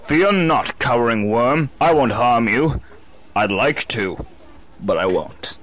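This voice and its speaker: A man's voice: mid-range voice, animated, takes it slowly, a bit bright. A man voicing a big, cheerful, oblivious superhero in a comic-book dub, with a grandiose, dramatic delivery. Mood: breezy, oblivious. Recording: echoey with long reverb.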